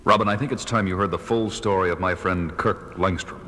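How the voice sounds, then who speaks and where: An actor playing a grave, composed masked crime fighter in a superhero audio drama. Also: male voice, deep voice, fairly even intonation, a little fast, lightly acted. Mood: measured storytelling. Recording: loud dramatic music underneath.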